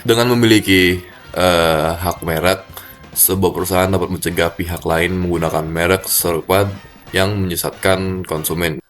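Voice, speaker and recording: Man, very low voice, stuffy nose, halting delivery full of fillers, faint music behind. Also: steady tone, fairly bright tone. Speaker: student presenter. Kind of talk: class presentation. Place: cold room.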